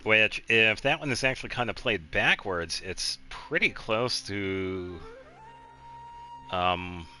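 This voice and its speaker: Guy streaming a game, really deep but crisp forward voice, rambles along casually. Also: animated, slow, sharp tone, soft background music. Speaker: game livestreamer. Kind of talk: casual chat while playing a game.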